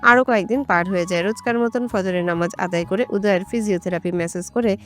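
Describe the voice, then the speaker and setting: A woman tells a romantic story in scripted, dramatic narration. Her voice sits at a middle pitch, with music playing underneath. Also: fast.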